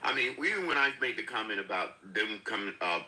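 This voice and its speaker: A man's voice: lowish voice, animated, fast talker, bright, forceful tone, poor audio. A man calling in to a political chat, earnest and often stammering into his sentences. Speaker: caller.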